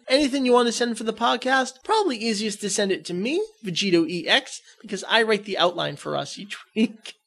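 High-voiced man hosting a podcast. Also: fairly bright tone. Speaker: podcast host. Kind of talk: casual fan podcast chat.

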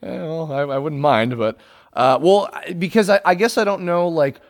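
A man's voice: on the high side, moderate pace. A man hosting a casual fan podcast, talking in a rambling way with many restarts and fillers, and joking with his guest. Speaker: podcast host.

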